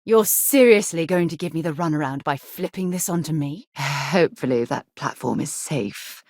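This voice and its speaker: An actress playing a resolute, principled woman space explorer in a video game, with a husky voice and an earnest, articulate way of speaking. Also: low voice, lively intonation, moderate pace, a little mellow, no echo, clean studio sound. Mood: exasperated, firm. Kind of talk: video game character acting.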